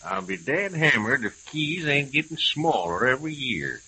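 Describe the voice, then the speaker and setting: An American actor playing a good-humored old prospector in a radio western, drawling in rustic cowboy dialect. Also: male voice, medium pitch, very animated, moderate pace, sharp tone. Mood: folksy. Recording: hissy, crackly old radio recording.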